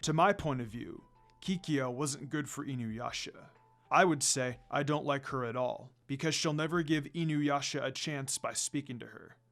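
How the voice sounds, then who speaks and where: A man plays a fatherly dog demon spirit from a fantasy story. The voice drops low and stays calm, measured and solemn, with kindly gentleness. Music plays underneath. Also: creaky. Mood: solemn, reassuring.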